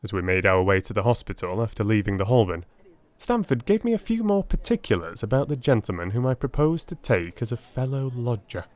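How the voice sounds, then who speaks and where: Expressive male audiobook narrator. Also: medium pitch, fairly quick. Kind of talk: dramatic first-person scripted narration of a Victorian story.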